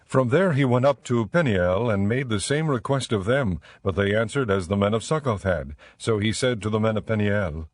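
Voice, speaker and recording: A man narrating scripture as a scripted audiobook in a measured, solemn manner. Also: fairly low pitch, animated, no echo, studio quality.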